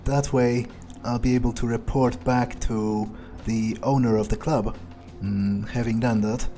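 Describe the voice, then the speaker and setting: A cruel, entitled vampire voiced by a man muses aloud in a video game roleplay. The voice is dark and soft-edged at a middling pitch. The delivery is deliberate and condescending, with pauses for thought. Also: steady tone, soft background music, lightly acted. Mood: musing, callous. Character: cruel, arrogant male vampire, coolly menacing, entitled.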